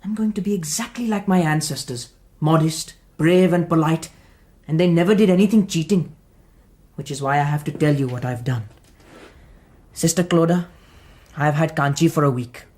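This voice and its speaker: An earnest, naive young general speaks politely and formally in a radio play, played by a woman. The voice sits low and mellow. The speech is carefully proper, almost schoolboyish. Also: moderate pace, pauses often, lightly acted. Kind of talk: radio drama acting.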